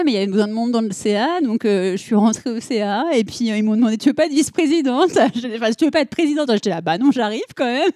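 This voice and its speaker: A woman's voice: mid-range voice, dark tone, no echo, studio quality. Hesitant woman nearing forty. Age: 40s. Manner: hesitant, many fillers and restarts. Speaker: board game club member, guest. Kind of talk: casual panel discussion.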